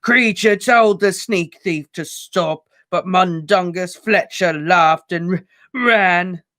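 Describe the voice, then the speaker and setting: A man voicing a wretched old male house-elf, servile and bitter, who croaks out his words slowly and haltingly. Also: mid-range voice, steady tone, fairly bright tone, no room sound, clean studio sound. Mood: wailing. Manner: slow, halting, gulping for air. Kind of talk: audiobook character voice.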